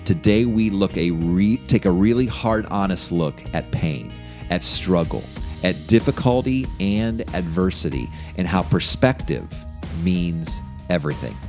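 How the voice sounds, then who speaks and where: Man, fairly deep voice, earnest interviewer, measured phrasing, studio, light background music. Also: animated, creaky, a little mellow. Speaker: podcast host. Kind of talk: podcast introduction and interview.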